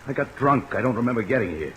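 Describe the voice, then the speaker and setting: An American actor playing a wary, bewildered sailor held in jail, speaking plainly and hesitantly in an old radio drama. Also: male voice, mid-range voice, fast, lo-fi sound, close to an everyday voice. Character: bewildered, wary American seaman jailed for murder. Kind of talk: 1950s radio drama acting. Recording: old radio recording with rain noise. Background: steady rain.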